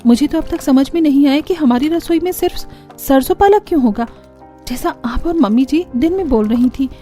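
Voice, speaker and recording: A woman's voice: high-pitched, fairly quick, dark tone, soft background music, voice pushed up, natural voice. A woman voicing a naive young newlywed daughter-in-law who exclaims and questions in a cartoon story. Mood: shocked, questioning.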